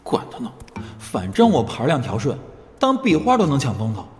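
A man's voice: mid-range voice, wildly swooping pitch, brisk, darkish tone, in a large hall, faint music behind. An actor playing a cocky, self-mocking young man in an idol group, in an audio drama. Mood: smug, defiant. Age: young adult.

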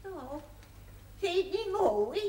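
A woman's voice: extremely high pitch, very animated, slow pace, mellow, full character voice. An actress playing a pious, teasing, plain-spoken village woman in a naturalistic television period drama. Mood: playful. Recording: 1970s TV audio with faint noise.

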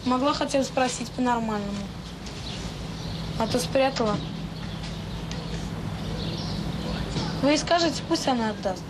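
A woman's voice: a little high, brisk, noisy background, natural voice. An actress playing a frank, stubborn schoolgirl from an orphanage in a radio drama, with a blunt, earnest and colloquial way of talking. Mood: guarded, matter-of-fact. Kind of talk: naturalistic radio drama acting.

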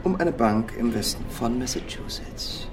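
An actor playing a casual, persuasive heist partner with an offhand, colloquial way of talking in a crime radio drama. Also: male voice, mid-range voice, animated, a little slow, noisy background. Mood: casually persuasive. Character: casual male heist partner pitching a job.

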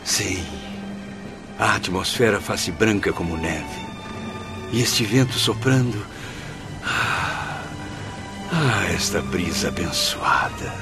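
A tormented yet grateful soul in a radio drama. His voice is low, formal and theatrical, full of feeling, with music playing clearly behind it. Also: expressive, takes it slowly, pauses often, exaggerated voice. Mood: awed relief. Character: Camilo, tormented, grateful male suicide soul recalling his journey. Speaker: actor and first-person narrator in a radio drama. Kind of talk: radio drama acting, literary first-person narration. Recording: background music under the voice.

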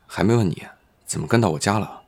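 A man's voice: sits low, quick pace, darkish tone. A man playing a caring, level-headed, protective colleague in an audio drama. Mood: concerned.

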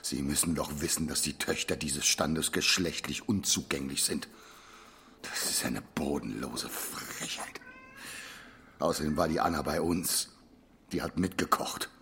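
A man's voice: rumbling low, moderate pace, sharp tone, slight background noise, heavily acted. An actor playing an indignant, pious, bigoted small-town father in a radio play, pompous and formal. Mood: indignant, warning. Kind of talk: German radio drama acting.

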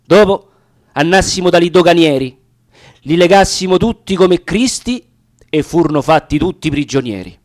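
A man with a Roman accent reciting narrative dialect verse theatrically, with deliberate, expressive pauses. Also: a little high. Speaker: actor reading poetry.

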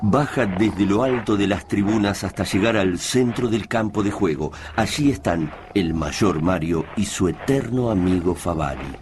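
Radio drama narrator: man, bass register, measured and literary, over a noisy sound bed. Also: animated.

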